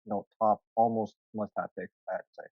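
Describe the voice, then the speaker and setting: A man's voice: fairly low pitch, little pitch movement, brisk. A man from Canada chatting casually as a panel guest, laid-back and noncommittal, over a dull voice call. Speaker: game writer, panel guest. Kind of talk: casual chat.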